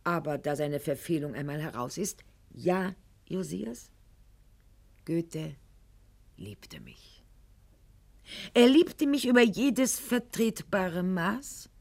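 A woman's voice: fairly low pitch, very animated, vocal fry, darkish tone, heavily acted. An actress playing a proud, articulate eighteenth-century court lady in a radio play monologue, with formal, mannered diction and an indignant, self-justifying air. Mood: solemn, confiding. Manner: eloquent, mannered, formal diction with dramatic pauses and sudden outbursts.

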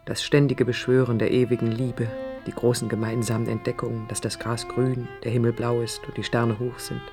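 An actress playing a disillusioned young woman architect in a radio drama, recalling her life in a low, husky, intimate monologue. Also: level tone, a little fast, over music. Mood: wistful, ironic. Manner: reflective, intimate, literary.

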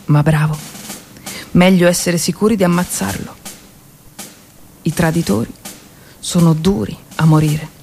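Woman playing a menacing, low-voiced army general. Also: fairly even intonation, unhurried, vocal fry, pauses often, mellow, slight background noise. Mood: sneering, controlled. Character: cold, menacing Italian army general barking orders. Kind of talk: theatrical war monologue.

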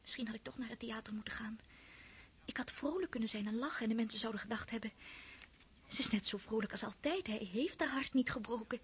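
A woman's voice: a little high, quick pace, slight background noise, lightly acted. An actress playing an impulsive, lovesick young girl in a radio play, often whispering her thoughts to herself. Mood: whispering, wistful. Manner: hushed whispered inner monologue. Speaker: countess's daughter.

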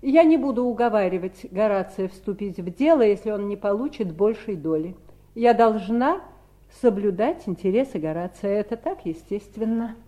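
A woman's voice: medium pitch, lively intonation, normal speed, warm tone, natural voice. A woman acting a shrewd, grasping sister in a Soviet radio drama, coolly insistent and playing innocent. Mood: feigned innocence. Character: shrewd, grasping Southern sister bargaining with her brothers.

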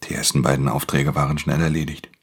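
A man playing a world-weary, guarded pickpocket who narrates a radio crime drama in an intimate, gravelly voice. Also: rumbling low, level tone, fast talker, a little hiss or hum. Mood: brooding, tense.

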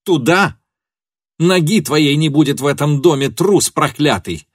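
A man voicing a furious, betrayed woman in a story, shouting bitter, venomous accusations. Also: a little high, animated, a little slow, no room sound, clean studio sound, voice pushed up.

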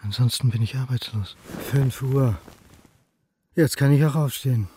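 A man's voice: mid-range voice, moderate pace, natural voice. Actor as an anxious, brooding male historian, music behind. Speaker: lead actor in a radio crime drama. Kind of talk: radio drama acting with inner monologue.

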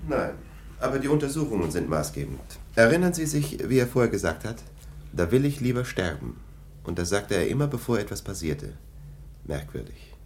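Curt police lieutenant, swinging intonation. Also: male voice, on the low side, big pitch swings, a little fast, slight background noise. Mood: dismissive, sure. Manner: curt, interrogating, giving orders. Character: brisk, sceptical police lieutenant, commanding and dismissive. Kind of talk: German radio play acting.

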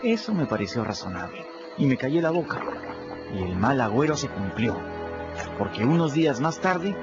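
A man with a Peruvian accent narrates in the first person for a radio drama, his voice moving lively up and down. The audio is dull, and music plays underneath. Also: medium pitch, moderate pace. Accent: Peruvian Spanish. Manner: storytelling, expressive.

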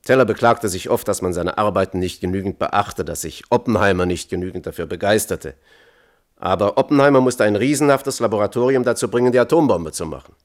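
An actor playing a thoughtful, conscience-troubled physicist testifying as a witness in a German radio play, measured and candid. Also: male voice, fairly low pitch, close to an everyday voice.

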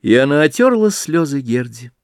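A male stage actor reading a fairy tale aloud as an audiobook, in an expressive, theatrical storytelling manner. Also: mid-range voice, normal speed, no echo, studio quality. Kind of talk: scripted fairy-tale narration.

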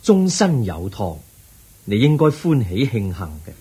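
A man with a Hong Kong Cantonese accent playing the Buddha, a calm, wise teacher who counsels gently and patiently in a radio drama. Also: medium pitch, unhurried, breathy edge, full character voice.

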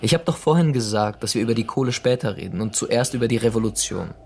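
An actor playing a young working-class blackmailer, radicalised and contemptuous, politely threatening and taunting in a naturalistic radio crime drama. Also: male voice, fairly low pitch, lively intonation, quick pace, vocal fry, a little mellow, husky, full character voice. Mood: grim, fanatical.

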